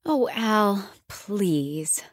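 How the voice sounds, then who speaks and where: A woman's voice: mid-range voice, lively intonation, very slow, no echo, studio quality. A woman voicing a frustrated, ambitious young actress, dramatic and emphatic, in an audiobook. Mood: offended. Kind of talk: audiobook dialogue acting.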